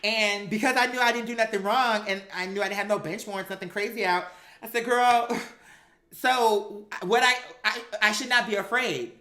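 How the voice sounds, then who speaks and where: Sassy, bright-voiced woman storyteller in an echoey room. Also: medium pitch, fairly even intonation, normal speed. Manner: sassy, emphatic, acts out quoted dialogue; profane, slangy. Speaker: storyteller recounting a personal experience. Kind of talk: animated personal storytelling.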